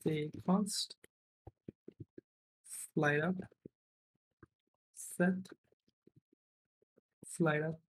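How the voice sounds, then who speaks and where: A man's voice: on the high side, fairly even intonation, drawn-out words, long pauses, slight background noise, poor audio. A man narrating an informal step-by-step screencast as a programming instructor, often checking in with "right" or "okay".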